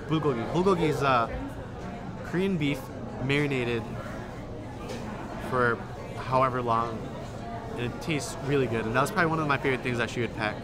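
A young adult man with an American accent, telling a personal story in a casual, halting, reflective way. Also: medium pitch. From Indonesia and Illinois, United States. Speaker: documentary interviewee. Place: busy food hall, indoors. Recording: loud busy restaurant crowd noise. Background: loud crowd chatter.

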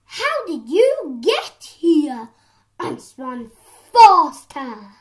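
A young girl voicing an arrogant male horse in a children's story, with drawn-out, exclaiming delivery. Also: high voice, very animated, pitched above the performer's own voice, full character voice. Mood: astonished, determined. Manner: taunting, exclaiming, drawn-out words. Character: boastful mocking male horse, arrogant, later humbled.

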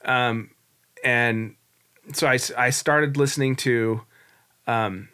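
Guy in his 40s, bright crisp voice, rambling and candid as a podcast guest. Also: mid-range voice, fairly even intonation, slow pace. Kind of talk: casual interview storytelling.

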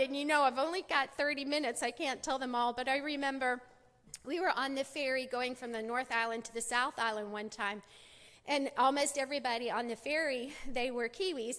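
Middle-aged american woman rambling through stories at a church ladies conference, kinda high voice, long hall echo. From United States. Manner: chatty, warm storytelling with many fillers and restarts. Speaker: conference speaker. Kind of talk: church women's conference talk. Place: large church auditorium stage. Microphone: podium mic.

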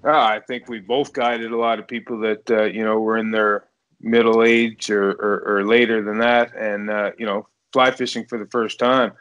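A man's voice: lowish voice, level tone, moderate pace, fairly bright tone, lo-fi sound. A man of about forty, chatting casually as the host of an interview. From Canada. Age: around 40. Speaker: podcast host and fishing guide. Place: indoor room, remote video call.

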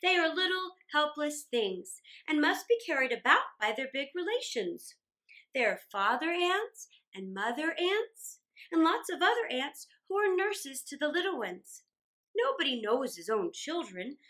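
A woman voicing a kindly, wise father in a storybook, patient and gently teasing as he explains. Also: sits high, big pitch swings, a little slow, exaggerated voice. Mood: explaining. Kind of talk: storybook character voice.

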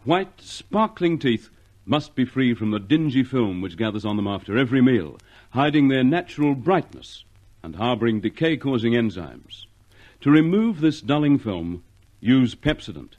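A man delivers a scripted 1950s radio announcement. His pitch moves with great animation around a middle register, and his selling is polished and emphatic. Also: big pitch swings, normal speed, a little mellow, muffled recording. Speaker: commercial and credits announcer.

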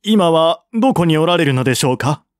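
A man reading as a courteous envoy, pitched high. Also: lively intonation, brisk, no echo, clean studio sound, lightly acted. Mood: polite, probing. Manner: polite, formal, reasoning step by step. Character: courteous, shrewd male envoy negotiating peace.

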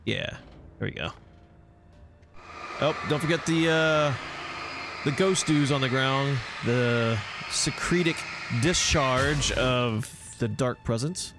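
A man's voice: medium pitch, takes it slowly, background noise. A man giving casual, rambling walkthrough commentary on a video game, talking to himself and to viewers. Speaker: gameplay host.

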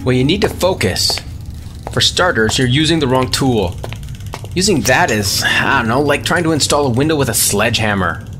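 A gruff chief engineer, acted by a man, talks curtly and with sarcasm. His middle-pitched voice rises and falls a lot over clear music in a space audio drama. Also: animated, moderate pace, natural voice. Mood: irritated. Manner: curt, sarcastic. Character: gruff, impatient male starship engineering chief. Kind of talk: sci-fi audio drama acting.

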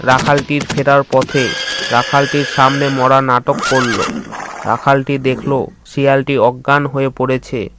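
A man narrating a scripted children's story as its storyteller. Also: medium pitch. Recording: loud background noise, animal sounds.

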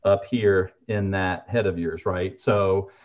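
A man's voice: low voice, fairly even intonation, slow pace. American man, slightly muffled call audio. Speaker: guest, umpire gear retailer. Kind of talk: casual endorsement on a video panel. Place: furnished room at home. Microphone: computer mic on a video call.

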